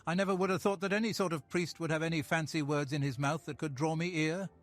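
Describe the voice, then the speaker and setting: High-voiced earthy fantasy commoner played by a man. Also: steady tone, fast, soft background music, lightly acted. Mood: musing. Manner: colloquial, earnest. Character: pious, poor male commoner, earthy and plainspoken. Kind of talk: fantasy game voice acting.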